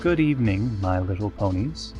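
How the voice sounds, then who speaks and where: A man playing a solemn, eerie pony radio host, reading scripted parody news in a measured, formal deadpan. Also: on the low side, music in the background, lightly acted.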